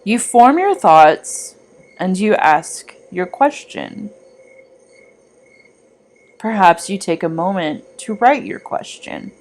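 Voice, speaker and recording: A woman reading a scripted guided meditation in a measured, gently instructing manner. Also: medium pitch, sing-song.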